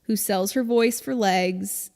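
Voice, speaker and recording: A woman co-hosting a podcast, explaining things in casual, informal chat with an animated storytelling manner. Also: mid-range voice, slow pace, gravelly. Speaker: podcast co-host. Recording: long reverb, hall-like room.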